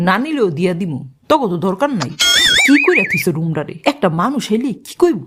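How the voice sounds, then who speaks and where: A woman's voice: medium pitch, sing-song, quick pace, very noisy, natural voice. A woman voicing a hot-tempered, sarcastic mother in a cartoon, nagging her daughter. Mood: angry nagging. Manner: nagging, sarcastic, shouting rebukes. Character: hot-tempered scolding Bangladeshi mother, middle-aged. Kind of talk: cartoon voice acting.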